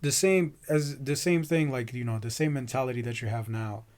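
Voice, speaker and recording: A young man whose first language is Portuguese, chatting casually as a podcast host on a boom-arm studio mic in a small home room, slangy and laid-back. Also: brisk, darkish tone. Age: young adult. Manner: laid-back, slangy, says "you know what I mean" often. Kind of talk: casual chat.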